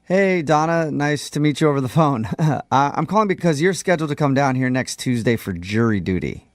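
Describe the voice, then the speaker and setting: A man's voice: a little high, lively intonation, fairly quick, no room sound, clean studio sound, close to an everyday voice. A man playing a chatty county court clerk on a prank phone call, casual, evasive and amused as he breaks awkward news. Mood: breezy, friendly.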